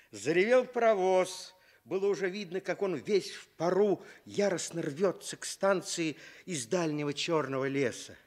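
Slow, expressive male storyteller. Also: fairly high pitch. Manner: expressive, deliberate. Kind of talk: scripted fairy-tale narration for Soviet radio.

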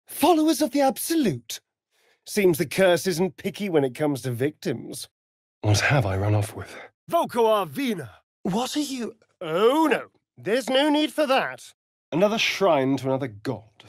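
An actor playing a sardonic, flirtatious vampire elf rogue in a video game, with an arch, droll, theatrical delivery. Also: male voice, high voice, wildly swooping pitch, unhurried, no echo, clean studio sound, exaggerated voice. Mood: urgent, alarmed, then wry, musing. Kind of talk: video game character voice lines.

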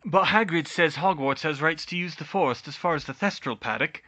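A man's voice: fairly high pitch, a little fast, sharp tone, highs cut off, voice pushed up. A man voicing a jaunty, confident young prankster in a story, casual and placating in manner. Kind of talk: audiobook character voice.

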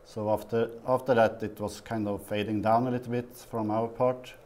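A Swedish man in his fifties, a guest in a small TV studio interview, talking haltingly with many fillers. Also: on the low side, flat delivery, darkish tone. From Sweden. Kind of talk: casual interview.

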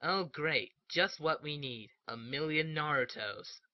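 A man voices a sullen young ninja for a cartoon parody. His slightly high voice is sarcastic and deadpan, captured close and dry with a lo-fi dullness. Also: a little slow, dry recording. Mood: sarcastic, unimpressed. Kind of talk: comedic anime parody dub. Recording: dull, dry lo-fi home dub.

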